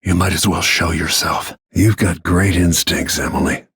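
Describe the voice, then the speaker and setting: A weathered male bodyguard turned assassin for a video game. His voice sits low and is full of gravel, with a heavily husky grain. He speaks tersely, with grim, brooding restraint. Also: steady tone, normal speed, a bit bright, dry recording, full character voice. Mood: calm, stern.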